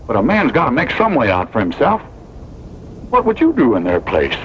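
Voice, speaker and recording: An actor playing a folksy, talkative, worrying cowboy sidekick with wry rural speech in an old-time radio western. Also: male voice, medium pitch, wildly swooping pitch, a little fast, a bit bright, slight background noise. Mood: plainspoken, wry. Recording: muffled old radio broadcast, music behind.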